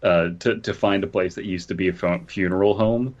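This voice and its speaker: Low-voiced man joking on a podcast. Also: fairly even intonation, brisk, muffled recording. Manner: joking, riffs on running gags. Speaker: podcast host. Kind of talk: casual comedic banter.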